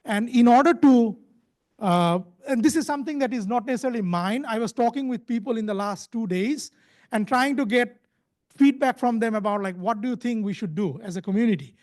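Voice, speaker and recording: A man presenting at a conference as a speaker, in a halting delivery with frequently repeated words and restarted phrases. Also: extremely high pitch, darkish tone. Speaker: conference speaker, company founder.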